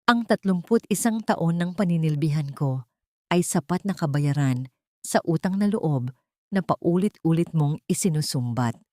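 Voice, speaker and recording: Woman playing older female servant, low pitch, dry sound, weary, calm, steadfast, storybook drama. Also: measured pace, no echo. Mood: calm, resolute. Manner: calm, weighty, firm. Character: weary older housemaid, humble but newly resolute.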